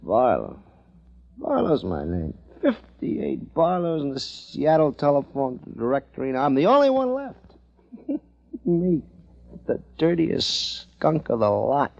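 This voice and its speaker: A maudlin American drunk in a vintage radio drama, rambling and pleading. His gravelly, highish voice lurches wildly up and down, slurred and self-loathing, on a dull old recording. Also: huge pitch swings, slow, darkish tone, exaggerated voice. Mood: bitter, maudlin. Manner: slurred, rambling, repeating himself.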